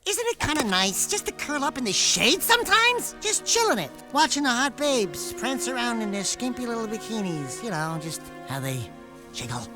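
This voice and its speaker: An actor voicing a wisecracking little furry animal sidekick in a video game, brash and sarcastic. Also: male voice, very high-pitched, sing-song, moderate pace, over music, poor audio. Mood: snarky, teasing. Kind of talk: video game comic-relief acting.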